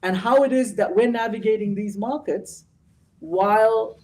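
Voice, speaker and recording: A man's voice: high-pitched, a little slow, a little mellow, recorded from a distance, low-quality recording. A host leading a casual trading show and giving motivational advice, often ending his points with "right?". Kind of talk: casual chat.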